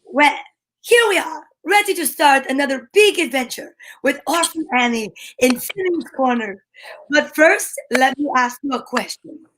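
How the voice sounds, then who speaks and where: A woman playing an upbeat radio announcer, reading a chatty, emphatic sponsor pitch in an old-time show style. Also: animated, normal speed, fairly bright tone, low-quality recording. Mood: bright, selling.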